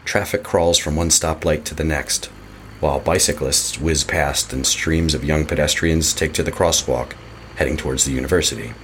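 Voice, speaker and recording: A man's voice: extremely low pitch, steady tone, normal speed, a bit bright, slight background noise. A man giving scripted narration as the narrator of a horror audio drama.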